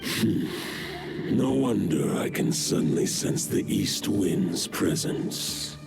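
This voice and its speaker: An actor playing an ancient, solemn wolf spirit in a video game, speaking in formal, archaic phrases with grave resignation. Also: male voice, lively intonation, loud music behind the voice, heavily acted. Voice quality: deep, gravelly. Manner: formal, archaic, measured speech.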